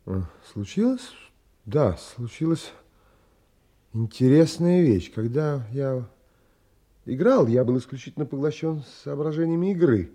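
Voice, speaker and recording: An actor playing a pensive, dreamy, unsettled gentleman who narrates an audio play in measured, dramatic phrases. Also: medium pitch, lively intonation. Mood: troubled, puzzled.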